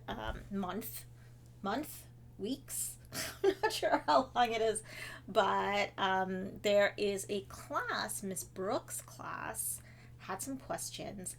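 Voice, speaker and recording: A woman's voice: medium pitch, vocal fry, a little hiss or hum. A woman from Trinidad and Tobago, a children's author, answering kids' questions in a casual chat from her home office, with fillers and friendly asides. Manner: chatty, with fillers and warm asides to kids.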